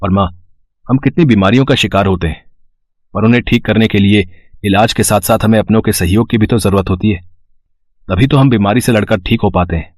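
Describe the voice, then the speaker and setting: A man playing a caring young husband and son in an animated moral story, earnest, gentle and persuasive. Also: on the low side, fairly quick, no room sound, studio quality, close to an everyday voice. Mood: earnest, pleading.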